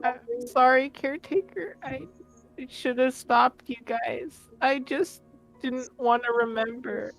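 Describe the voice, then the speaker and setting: A woman playing a tabletop roleplaying game, improvising in character in a halting, earnest way. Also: high-pitched, fairly even intonation, creaky, faint music behind, poor audio.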